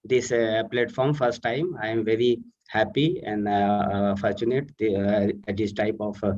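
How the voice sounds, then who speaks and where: Indian man, halting, over a muffled distant line. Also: fairly low pitch, steady tone, a little mellow. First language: Urdu. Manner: halting, many fillers. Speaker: student participant. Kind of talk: online panel contribution. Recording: distant muffled online audio.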